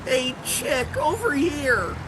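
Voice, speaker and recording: An actor playing an eager, excitable young male sidekick in a comic audio drama, with an inquisitive, bubbly manner. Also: sits high, slow pace, a little hiss or hum, heavily acted.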